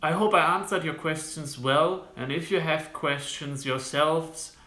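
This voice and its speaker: A young man from Germany with a German accent, giving casual advice to camera as a medical student, halting with frequent restarts. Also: fairly high pitch, bright, forceful tone. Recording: roomy, echoey room sound.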